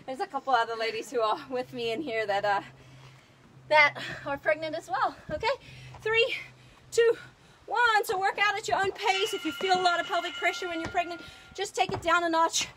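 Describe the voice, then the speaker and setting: High, loud-voiced woman coaching a workout. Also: normal speed, a little hiss or hum. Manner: upbeat, counting down and cheering on. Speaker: fitness trainer. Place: outdoors in the sun. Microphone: phone.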